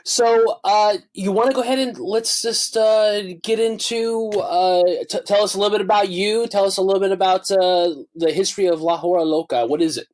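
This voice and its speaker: Guy hosting a wedding podcast, kinda high voice, pumped, says uh a ton. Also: steady tone, normal speed, few pauses, a little mellow. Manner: enthusiastic, many filler uhs. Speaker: podcast host.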